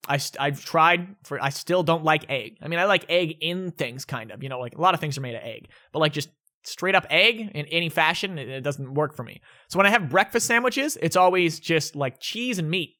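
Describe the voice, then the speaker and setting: Young male YouTuber, laid-back and self-correcting, bright tone, home vlog. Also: on the high side, lively intonation, brisk. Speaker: YouTuber answering viewer questions. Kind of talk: casual vlog Q&A. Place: indoors at home.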